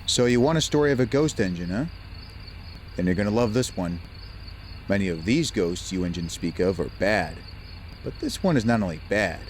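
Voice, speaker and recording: A man playing a smug, scheming talking train engine in an audio drama, with an ominous, deliberate delivery. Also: on the low side, lively intonation, a little hiss or hum, lightly acted. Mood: sly. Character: scheming, smug male engine, spinning ghost tales. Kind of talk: audio drama character acting. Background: music, cricket and insect night sounds.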